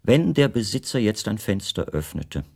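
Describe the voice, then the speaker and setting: Low-voiced, reflective male writer narrating. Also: sing-song, creaky, a little mellow, full character voice. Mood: recollecting. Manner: measured, precise, formal. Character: reflective male writer, a foreign guest recounting his disappearance. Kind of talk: literary radio drama first-person narration.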